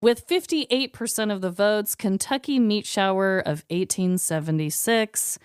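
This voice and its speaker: A woman co-hosting a podcast in casual chat, expressive and emphatic, swearing freely. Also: medium pitch, a little slow, clear, resonant tone, no room sound, clean studio sound. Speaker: podcast co-host.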